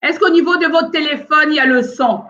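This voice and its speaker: A woman's voice: high voice, brisk, fairly bright tone, muffled recording. A woman from France of Congolese origin hosts a casual live stream from home, hesitant and full of fillers while she fights sound problems.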